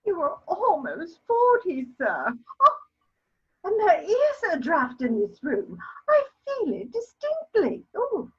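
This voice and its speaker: A woman's voice: extremely high pitch, wildly swooping pitch, takes it slowly, fairly bright tone, exaggerated voice. A woman playing an imperious, scolding elderly aristocratic mother in a theatrical period comedy reading, emphatic and haughty. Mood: indignant. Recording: dull lo-fi sound.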